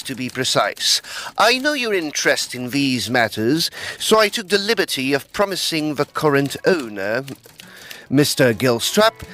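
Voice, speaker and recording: A man's voice: fairly high pitch, pushed and bright, full character voice. A man playing a dry, teasing, sceptical Edwardian estate agent in a tongue-in-cheek audio drama, with formal wording.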